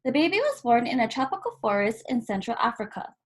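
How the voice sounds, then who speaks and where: A woman reading a children's picture book aloud as a volunteer story reader, in measured phrases with pauses between them. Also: on the high side, big pitch swings.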